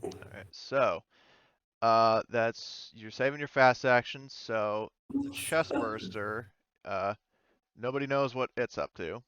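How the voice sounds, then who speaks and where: A man's voice: mid-range voice, slow pace, fairly bright tone, clean tone, dry recording, dull audio. A man acting as game master of a tabletop roleplaying game, casually thinking aloud as he talks through rules and turns.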